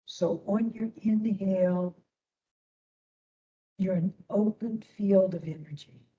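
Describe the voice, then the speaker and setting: A woman instructor leading a guided meditation in a soothing manner, with long pauses between short phrases. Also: medium pitch, recorded from a distance, phone-quality sound.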